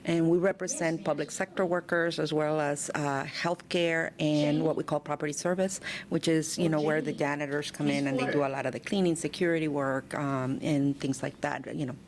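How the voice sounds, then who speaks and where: A woman guest on a radio show, speaking in a measured, earnest way with frequent fillers. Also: sits low, fairly even intonation, crowd noise. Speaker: union president, guest. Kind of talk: radio interview.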